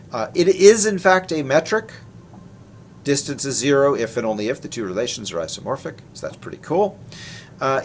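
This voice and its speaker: A man's voice: mid-range voice, slight background noise. A man presenting research in a technical lecture, explaining in an informal, conversational way. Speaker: researcher presenting his work.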